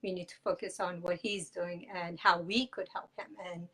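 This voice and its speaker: A woman's voice: on the low side, little pitch movement, normal speed, fairly bright tone, husky. A woman raised in the United States, an author and teacher, speaking earnestly as a guest in a casual live chat. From Afghanistan, raised in the United States.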